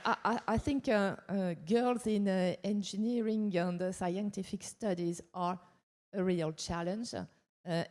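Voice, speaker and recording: A woman with a fairly low, mellow voice speaks on a conference panel. She is hesitant and pauses often, and her voice carries a long hall reverb. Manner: hesitant, many fillers. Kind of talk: panel discussion remarks.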